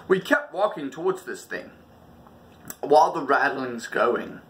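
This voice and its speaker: A man's voice: mid-range voice, very animated, unhurried, fairly bright tone. A man hosting a storytime, telling personal tales in a casual, dramatic way and acting out the moments. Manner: dramatic, casual, acts out dialogue and sounds. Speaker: storytelling channel host.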